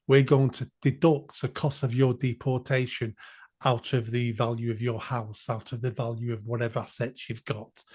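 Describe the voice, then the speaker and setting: A man's voice: medium pitch, dark tone. Blunt, emphatic man. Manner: blunt, emphatic, repeats phrases for force. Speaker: podcast guest. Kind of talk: political podcast discussion.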